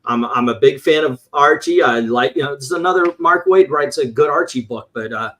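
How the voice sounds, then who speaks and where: A man's voice: medium pitch, brisk, bright, forceful tone. A man reviewing comic books in casual, opinionated panel chat, rambling and swearing, acting out his exasperated reactions.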